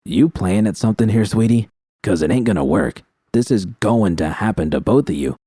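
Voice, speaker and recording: A man's voice: on the low side, expressive, moderate pace, mellow, breathy edge, no echo, studio quality. A man voicing a menacing male gang captor in an audiobook, mocking and gloating. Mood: suspicious menace.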